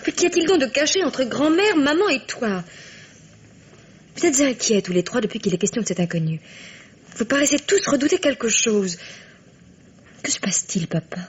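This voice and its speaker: An actress playing a tender, inquisitive young adult daughter in an old-style radio drama, earnest and gently questioning. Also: female voice, fairly high pitch, expressive, moderate pace, pauses often, close to an everyday voice. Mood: worried, pleading. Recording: noisy old radio recording.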